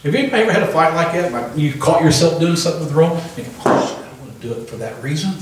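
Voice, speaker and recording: A man in his sixties preaching a sermon into a handheld microphone in a small church hall, pausing for the congregation's amens. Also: fairly high pitch, animated. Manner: emphatic preaching with call-and-response amens. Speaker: pastor. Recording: echoey room from a distant camera.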